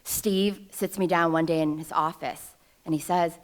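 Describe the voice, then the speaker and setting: A woman's voice: low-pitched, steady tone, normal speed. A woman in her thirties from New York City, giving a personal storytelling speech at a ceremony with wry, self-deprecating humor, into a podium microphone. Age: 30s. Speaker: alumna speaker at a ceremony. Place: ceremony stage before an audience.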